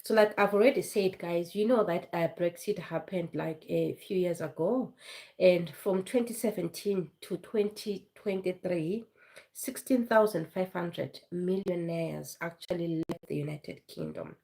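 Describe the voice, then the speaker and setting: A woman with a cold talks through a live stream in a chatty, rambling way, circling back to repeat her points. Her voice sits fairly low, and she stumbles over words now and then. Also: measured pace, vocal fry, darkish tone. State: has flu, voice low and foggy. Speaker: vlogger hosting a live stream. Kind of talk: casual live-stream talk.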